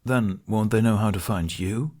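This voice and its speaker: A man voicing a gruff, exasperated male ally in a fantasy audiobook, blunt and grumbling. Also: lowish voice, moderate pace, dark tone, close to an everyday voice.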